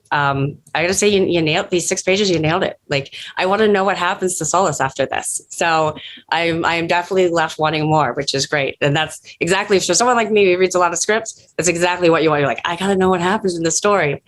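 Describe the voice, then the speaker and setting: A woman's voice: sits low, fairly bright tone. A woman speaking as a producer giving casual, thoughtful feedback with emphatic points.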